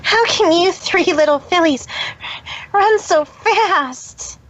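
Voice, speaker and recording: A woman's voice: extremely high pitch, takes it slowly, a bit bright, heavily acted. A woman voicing a proud, flustered female pony magician in a fan fiction audio reading. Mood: weary, frustrated. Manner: boastful third-person self-reference, nervous. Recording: dull lo-fi recording.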